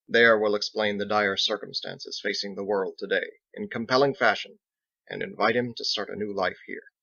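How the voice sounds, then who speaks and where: A man voicing a genteel, wry, philosophical sea captain who speaks formally and deliberately in a storybook reading. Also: fairly low pitch, fairly even intonation, creaky, bright, forceful tone, no echo, lo-fi sound, full character voice. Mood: pensive. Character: genteel, measured, pipe-smoking male sailor captain, wry and philosophical.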